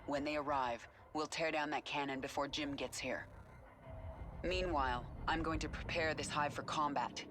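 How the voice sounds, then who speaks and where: A woman's voice: low voice, fairly even intonation, moderate pace, natural voice. A woman playing a hardened, determined former alien queen in a video game, speaking in a terse, commanding way. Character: hardened, determined female former alien hive queen, now human again. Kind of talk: dramatic video game acting. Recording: game music behind the voice.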